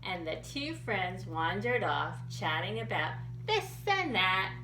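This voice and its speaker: A woman tells a story to young children in a bright, animated voice. She takes her time and speaks simply, as a storytime reader does. The recording is roomy, with some noise behind her. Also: mid-range voice, slow pace. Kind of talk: expressive read-aloud storytelling for young children. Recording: roomy echo with background noise.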